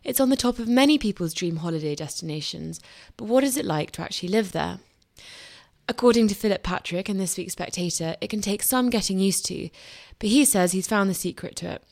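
Female podcast host, mid-pitched, measured delivery, reads introductions from a script. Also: lively intonation, vocal fry. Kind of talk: hosting a magazine discussion podcast.

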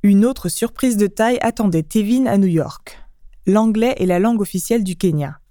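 A woman hosting a language lesson, explaining clearly in a teacherly way. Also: medium pitch, a little fast, dark tone, dry recording.

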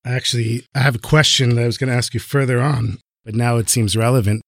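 A man with a mid-pitched voice, recorded dry and without room sound. He speaks conversationally as an interviewer. His questions are probing, with short follow-ups slipped in. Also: fairly even intonation, fast, creaky, no room sound.

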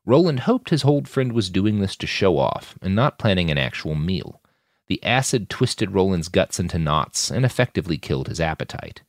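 A man narrates prose fiction in a very low voice. His delivery is animated, with wide rises and falls across scripted sentences. The sound is dry and close, with hardly any room. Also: moderate pace, no echo.

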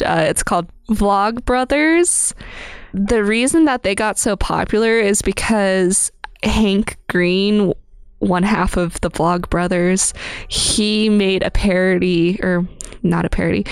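A woman's voice: medium pitch, clear, resonant tone, no room sound. A woman co-hosting a casual podcast, rambling a little with lots of "like" and "um" as she tells stories. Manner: relaxed, rambling, lots of fillers like "like" and "um". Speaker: podcast co-host.

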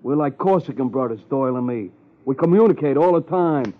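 An American actor playing a brash, evasive, sarcastic boxing trainer with a streetwise manner in a 1950s radio drama. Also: male voice, mid-range voice, expressive, fairly quick, close to an everyday voice. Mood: mocking, sly. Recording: muffled old 1950s radio recording.

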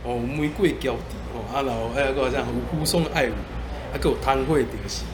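A man's voice: mid-range voice, fast, background noise. A man with a Tainan Taiwanese accent chatting casually on a podcast, repeating phrases for emphasis. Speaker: podcaster guest. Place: coffee shop.

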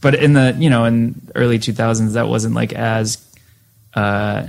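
A man's voice: medium pitch, fairly even intonation, measured pace, vocal fry, a little mellow. A man in his thirties with an American accent, chatting casually as an interview guest in a rambling, slangy way about his past. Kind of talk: casual reminiscing chat.

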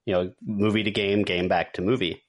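A man's voice: low-pitched, flat delivery, fairly quick. A man co-hosting a podcast, talking in a casual, conversational style. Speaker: podcast host. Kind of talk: casual chat about movies and games.

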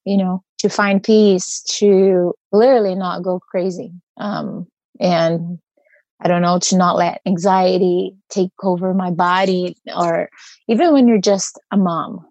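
A woman's voice: fairly low pitch, fairly even intonation, measured pace, darkish tone, no echo, lo-fi sound. A woman with a Brazilian accent, speaking earnestly as an interview guest and searching for her words as she reflects.

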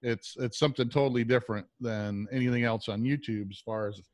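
A man's voice: mid-range voice, fairly even intonation, fairly quick, darkish tone, no echo. A man acting as interviewer in a casual, conversational chat.

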